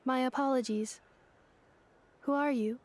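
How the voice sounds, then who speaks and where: A woman's voice: high voice, steady tone, unhurried, darkish tone, slight background noise. An actress playing a polite, bewildered female robot in a video game. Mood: confused.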